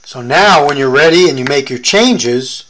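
A man's voice: medium pitch, animated, normal speed, a crowd in the background, poor audio. An American man demonstrating a product in a casual hands-on tutorial, explaining informally step by step.

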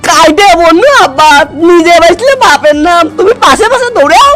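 A man voicing a cheeky, cowardly truant schoolboy in a comic cartoon, whiny and exclaiming with drawn-out sighs in a Bogra accent. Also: very high voice, animated, over loud music. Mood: nervous pleading. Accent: Bogra, northern Bangladesh. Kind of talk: comic cartoon dubbing in rural dialect.

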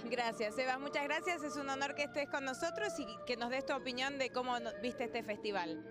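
A woman's voice: high voice, brisk. A young Argentine woman chatting casually in an outdoor interview, into a handheld microphone. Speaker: festival organizer being interviewed. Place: outdoors at an airfield. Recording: outdoor interview with music over it.

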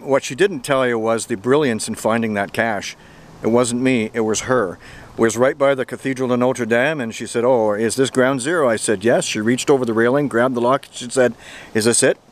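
A man's voice: mid-range voice, normal speed. Chatty middle-aged man vlogging outdoors. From Canada. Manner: chatty, upbeat, laughs often. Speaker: geocaching vlogger. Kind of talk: casual outdoor vlog commentary. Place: outdoors on a bridge and wooded trails in summer. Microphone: handheld camera at arm's length.

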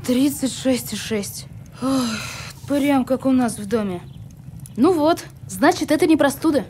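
A woman voicing a bright, polite, sensible young boy in an animated cartoon, earnest and reasonable. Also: sits high, unhurried, faint music behind. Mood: reasoning.

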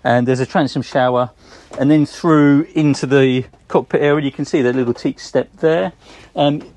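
Relaxed English man giving a boat tour. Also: medium pitch, moderate pace. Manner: relaxed, chatty, frequent ums, pointing out features. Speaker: boat sales presenter. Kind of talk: casual walkthrough tour. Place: outdoors on a boat in a marina.

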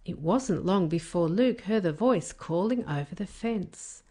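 A woman narrates a children's storybook. Her voice sits slightly low and moves with animated intonation. She reads carefully at an unhurried pace. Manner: careful, expressive reading. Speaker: storybook reader.

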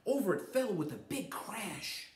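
An American man in his forties tells a children's story with theatrical emphasis. His pitch moves widely, and the room adds noticeable echo around his voice. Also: sing-song, a little hiss or hum. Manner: dramatic, drawn-out emphasis. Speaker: children's read-aloud storyteller. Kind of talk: expressive storybook reading with casual asides. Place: large finished living room indoors. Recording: roomy echo of indoor space.

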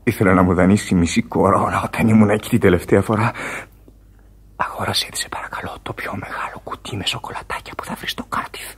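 An actor playing a dying sailor in a radio drama, weak and wistful, halting and pleading with gasps. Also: male voice, lowish voice, fairly even intonation. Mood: resigned, tender. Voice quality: husky, gravelly. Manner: halting, pleading, breaking into whispers.

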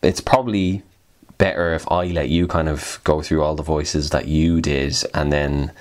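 A man's voice: a bass voice, steady tone, normal speed, darkish tone. A man hosting a podcast, asking casual questions in a wordy, tentative way. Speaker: podcast host, interviewer.

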